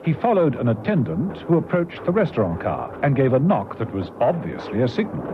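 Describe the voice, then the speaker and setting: A man's voice: medium pitch, huge pitch swings, a little fast. A man playing the storyteller of a spy radio serial, narrating in a scripted, dramatic style. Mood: suspenseful. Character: brisk, animated male storyteller of a spy serial. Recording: noisy muffled vintage radio recording.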